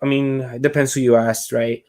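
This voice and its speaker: A man from Puerto Rico talks casually as a podcast guest. His pitch is average, and his phrasing is loose and informal, feeling its way through each thought. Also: level tone, normal speed, a little mellow. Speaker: podcast guest, reptile keeper. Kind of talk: casual chat.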